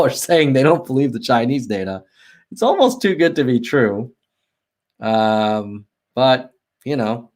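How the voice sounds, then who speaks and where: An American man hosting a casual livestream, thinking aloud over charts and reading viewer chat, with frequent ums and self-corrections. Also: a little high. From United States. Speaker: livestream host. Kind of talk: casual data walkthrough on livestream.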